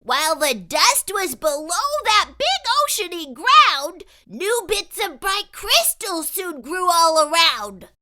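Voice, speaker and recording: Woman as a very high-pitched, excitable storybook boy. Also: slow, few pauses, heavily acted. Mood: eager storytelling. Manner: dramatic, sing-song, emphatic. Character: excitable young boy spinning a tall tale in rhyme. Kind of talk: rhyming storybook read-aloud.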